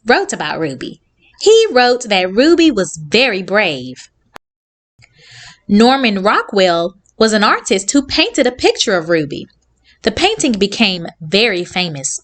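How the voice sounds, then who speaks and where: A woman hosting a children's read-along, reading aloud and addressing young listeners in simple, clearly phrased sentences. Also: medium pitch.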